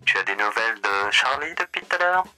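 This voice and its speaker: A man doing a grandiloquent demon hotel host who speaks with mocking courtesy. His voice is very bright and crisp. It swoops up and down through every phrase, with faint music behind. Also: fairly low pitch, expressive, brisk, rough recording. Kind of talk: voice roleplay.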